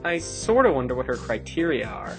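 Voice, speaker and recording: A woman voicing a sceptical teenage high school boy who narrates an anime fan dub in a dry, sardonic, deadpan way. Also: brisk, creaky, music in the background, heavily acted, rough recording. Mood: dry, wry. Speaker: narrator and lead character.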